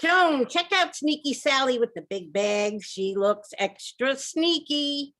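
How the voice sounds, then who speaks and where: Woman as bossy official director lady, high voice, radio comedy. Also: lively intonation, takes it slowly, fairly bright tone, heavily acted. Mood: suspicious. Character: officious woman director of a seniors' dining room. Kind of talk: old-time radio comedy acting.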